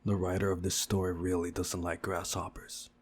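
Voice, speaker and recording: A man's voice: deep voice, level tone, moderate pace, a little hiss or hum. A man reading a visual novel aloud as a playthrough host, with casual asides.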